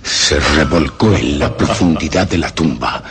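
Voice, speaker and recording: Very deep, solemn Castilian male storyteller. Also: expressive, heavy background noise. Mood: solemn wonder. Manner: grave, theatrical, emphatic phrasing with dramatic pauses. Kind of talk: dramatic radio drama narration of a horror tale. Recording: music under the voice.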